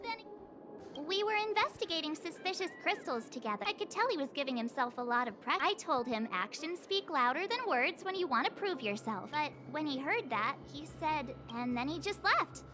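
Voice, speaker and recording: A woman playing a bubbly, chatty, excitable teenage girl adventurer in a video game, with a breezy, expressive manner. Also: high voice, normal speed, music in the background. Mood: chatty, reflective.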